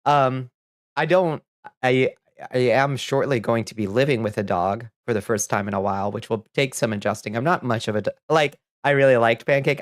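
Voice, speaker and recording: A man's voice: medium pitch, no echo, clean studio sound. A man streaming a game, chatting casually with viewers and reading their messages aloud, a little sniffly, rambling and laughing easily. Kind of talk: casual chat while gaming.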